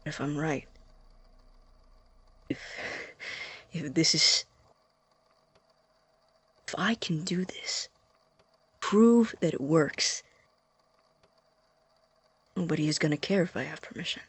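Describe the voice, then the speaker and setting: An intense young science student played by a woman in an audio drama monologue, convinced of being right. The voice is lowish and husky, breaking off and restarting anxiously. It is recorded close and dry. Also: lively intonation, dry recording, full character voice. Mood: brooding, resolved. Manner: halting, self-interrupting, intense.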